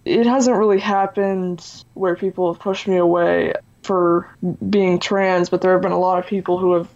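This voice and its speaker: A teenage boy who still has a high voice, talking earnestly as an interview guest and using lots of "you know" and "like". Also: little pitch movement, normal speed, a little mellow, dull audio. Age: teens. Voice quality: high voice for a man. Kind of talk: candid interview talk.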